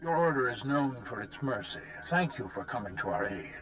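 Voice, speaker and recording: An actor playing a haughty, self-serving military commander in a video game, defensive in manner. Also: male voice, mid-range voice, animated, moderate pace, a bit bright, soft background music, natural voice.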